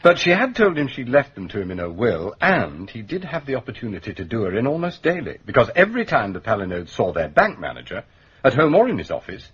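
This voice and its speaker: Husky, lilting English gentleman detective. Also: medium pitch, very animated, quick pace, full character voice. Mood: explaining, thoughtful. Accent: educated English. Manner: musing, understated, donnish. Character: Albert Campion, urbane, dryly amused gentleman detective. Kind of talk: British radio drama acting. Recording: dull, muffled radio recording.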